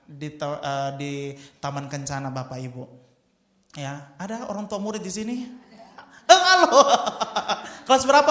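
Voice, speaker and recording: A man's voice: on the high side, fairly bright tone. A man in his twenties preaching a sermon with personal testimony, emphatic and often addressing the congregation. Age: 20s. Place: church hall. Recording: echoey church hall.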